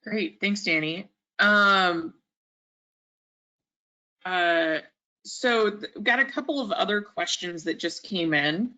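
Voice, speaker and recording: A woman's voice: medium pitch, slow pace, pauses often, pushed and bright, dull audio. A woman reading out audience questions hesitantly, with many fillers, over a video call. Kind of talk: webinar moderation.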